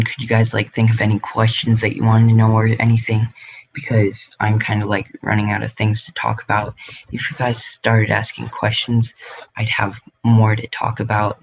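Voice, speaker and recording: A man's voice: lowish voice, vocal fry, muffled recording, slight background noise. A young man hosting a casual livestream from his home grow room, rambling with lots of ums and likes. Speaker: livestream host.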